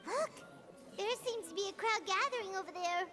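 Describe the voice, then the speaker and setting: A woman voicing a tiny childlike fairy companion in an anime game, with a squeaky, earnest, chirpy delivery. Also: normal speed. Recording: loud music behind.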